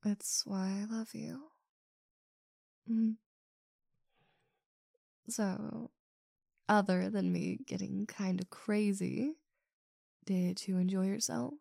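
Woman acting as slow-talking, creaky-voiced affectionate girlfriend. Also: mid-range voice, steady tone, warm tone, no room sound, studio quality, heavily acted. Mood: sheepish, affectionate. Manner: breathy, intimate, drawn-out delivery with sighs. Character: possessive, affectionate girlfriend lover, adult woman. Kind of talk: intimate roleplay audio acting.

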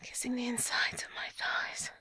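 A woman in an intimate audio roleplay, whispering breathily with soft sighs and slipping into French phrases. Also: moderate pace, no room sound, poor audio.

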